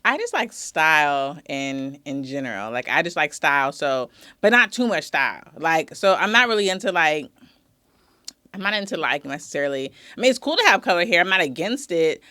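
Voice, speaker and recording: A woman's voice: low voice, very animated, normal speed, bright, forceful tone, no room sound, studio quality. A woman in casual, playful conversation, teasing and stretching out words. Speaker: guest. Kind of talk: casual chat.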